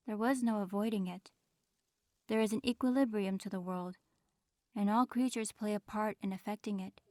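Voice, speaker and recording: An ancient dragoness in human form , voiced by a woman, speaks for an audio roleplay. Her voice sits at a medium female pitch, recorded close and dry. She is regal and aloof, choosing formal, measured words with a guarded reserve. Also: darkish tone, no room sound, natural voice. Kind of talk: audio roleplay, in-character monologue addressed to listener.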